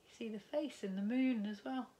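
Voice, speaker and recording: A woman, a primary school teacher reading a picture book aloud to children from her living room. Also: a little high. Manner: slow, expressive storytime reading with lively rises on key words. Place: living room at home, indoors.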